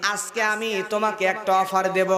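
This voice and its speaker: A Bangladeshi man voicing a lustful, menacing young butcher in a sermon story, with drawn-out, declamatory speech. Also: high voice, little pitch movement, natural voice. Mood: coaxing, insistent. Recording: echoing loudspeaker sound with crowd.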